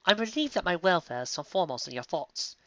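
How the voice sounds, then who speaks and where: A man's voice: high voice, sing-song, quick pace, bright, forceful tone, dry recording, pitched above the performer's own voice, heavily acted. A man voicing a prim, formal female pony cellist with a dry sarcastic manner. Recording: dull lo-fi audio.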